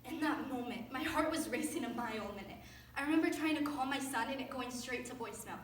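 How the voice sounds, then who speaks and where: Young actress as earnest small-town storyteller, lightly high voice, dramatic monologue in a reverberant hall. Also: female voice, fast talker, lightly acted. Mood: reflective. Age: young adult. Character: earnest small-town woman narrating her family tragedy. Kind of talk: dramatic monologue in readers theater. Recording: echoey noisy stage, distant mic.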